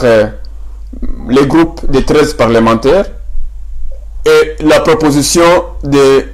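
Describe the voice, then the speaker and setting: A man with a Congolese French accent hosting a formal interview as a journalist, speaking haltingly and repeating words as he frames his questions. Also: medium pitch, low-quality recording.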